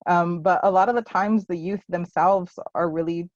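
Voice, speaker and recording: Lowish-voiced woman on a video call, lots of ums, dry muffled sound. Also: steady tone, moderate pace, no room sound. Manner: hesitant, many ums and restarts. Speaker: presenter answering questions. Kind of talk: casual presentation at a virtual symposium.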